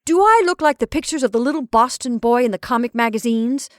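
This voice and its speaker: A woman's voice: fairly high pitch, expressive, quick pace, dry recording, voice pushed up, natural voice. A pedantic young male prodigy who explains himself in precise, formal sentences, as a woman reader gives him voice. He sounds earnest and a little stiff. The recording is dry and close.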